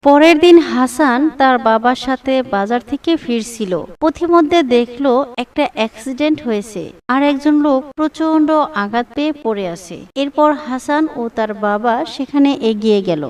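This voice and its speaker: A woman's voice: high voice, normal speed, hardly any pauses, darkish tone, clear, resonant tone, far from the mic. A woman narrating a scripted children's story.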